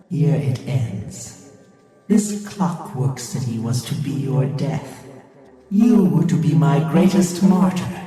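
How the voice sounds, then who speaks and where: A man voicing a grandiose, vengeful godlike villain in a game, declaiming theatrically and deliberately. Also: mid-range voice. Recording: cavernous reverb, distant, with music.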